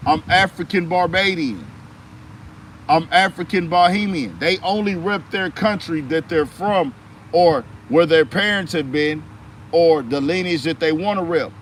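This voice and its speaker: A man's voice: on the high side, expressive, unhurried, fairly bright tone, a little hiss or hum, low-quality recording. An American man delivering an emphatic political commentary monologue in slangy speech, firing off rhetorical questions. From United States. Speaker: commentary host.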